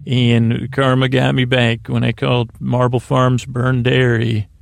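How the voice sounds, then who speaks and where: An American man with a creaky voice hosting a sleep podcast, rambling in a halting, reassuring way as he speaks straight to the listener. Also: mid-range voice, little pitch movement, no room sound. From Syracuse, New York, United States. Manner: meandering, halting, stumbling over words, reassuring. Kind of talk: rambling bedtime monologue, addressing the listener.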